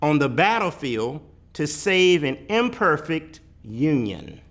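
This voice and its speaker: Male reader for a poetic picture book: higher-pitched voice, highly animated intonation, emphatic delivery with weighty pauses. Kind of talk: dramatic poetry read-aloud.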